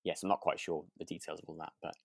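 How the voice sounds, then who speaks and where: A man's voice: a bass voice, level tone, quick pace, gravelly, no room sound, clean studio sound. A man chatting casually as a podcast co-host, hesitant and often correcting himself. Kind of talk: relaxed casual chat about a radio drama.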